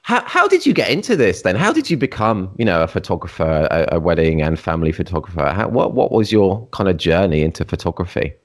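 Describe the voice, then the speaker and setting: Effusive man with a lively, low voice. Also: fast talker, hardly any pauses. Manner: effusive, sighing, self-deprecating. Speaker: podcast host. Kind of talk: casual interview conversation.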